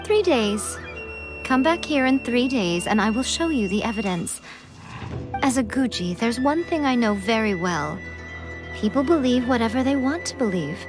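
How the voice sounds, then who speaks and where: A woman playing a sly, teasing, poised shrine priestess in an anime video game, speaking in a coy, deliberate way. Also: fairly high pitch, lively intonation, over music, close to an everyday voice. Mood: grave, knowing.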